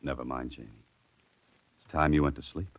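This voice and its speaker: An actor playing a dry, protective adventurer-writer in clipped, hardboiled 1940s radio style. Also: male voice, very deep voice, steady tone, a little fast, muffled recording. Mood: musing.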